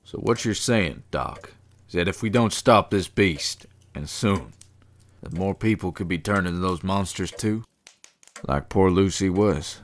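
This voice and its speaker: Deep-voiced folksy vampire hunter played by an actor. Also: male voice, unhurried. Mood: resolute. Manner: folksy, wry. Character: plain-spoken, folksy, brave male vampire hunter. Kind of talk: audio drama acting.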